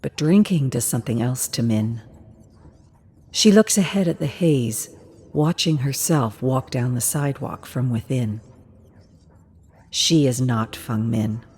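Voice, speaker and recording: Low, husky woman narrating a dramatic story. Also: unhurried, pauses often, darkish tone.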